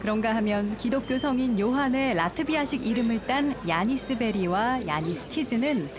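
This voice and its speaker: A woman reading a calm, lyrical documentary travel narration. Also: on the high side, moderate pace, noisy background. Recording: studio voice-over with music.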